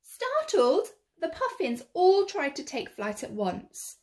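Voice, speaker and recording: A woman reading a children's picture book aloud in an expressive, theatrical way, drawing out the action words. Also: very high-pitched, takes it slowly. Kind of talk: expressive read-aloud storytelling.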